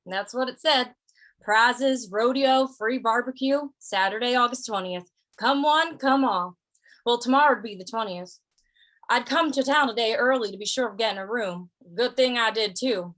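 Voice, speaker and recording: A woman's voice: fairly high pitch, fairly bright tone, lightly acted. A woman playing an easygoing wandering gunslinger of the Old West, narrating a radio western in a folksy, conversational way.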